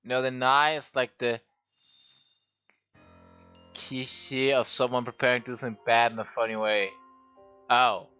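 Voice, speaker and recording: A man's voice: mid-range voice, flat delivery, a little slow, pauses often, bright, forceful tone, smooth, soft background music. A man streaming a game, rambling and joking at himself as he talks through it. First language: Danish. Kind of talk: casual game livestream commentary.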